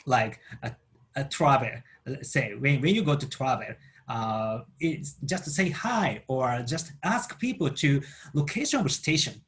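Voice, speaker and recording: A Japanese man speaking English as a second language with a Japanese accent, explaining a book in a halting way as he searches for words. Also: fairly high pitch. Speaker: book channel host.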